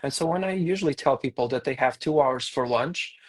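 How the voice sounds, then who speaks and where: Portuguese-accented male tour guide, mid-pitched, relaxed podcast chatter, lots of ums. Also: a little fast. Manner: hesitant, many fillers. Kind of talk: casual podcast conversation.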